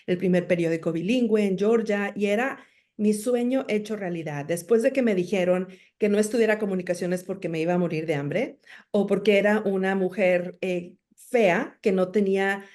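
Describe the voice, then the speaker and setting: A woman's voice: on the low side, moderate pace, darkish tone. A middle-aged woman from Monterrey with a northern Mexican accent, telling her personal story as an interview guest in an articulate, emphatic way. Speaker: podcast guest, entrepreneur and speaker.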